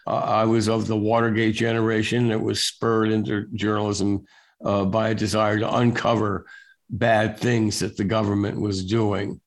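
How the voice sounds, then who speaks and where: Older man, low gravelly voice, relaxed wry storyteller, guest on a talk show. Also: moderate pace. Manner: relaxed, wry, with frequent filler uhs. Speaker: guest, national security reporter. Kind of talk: casual storytelling interview.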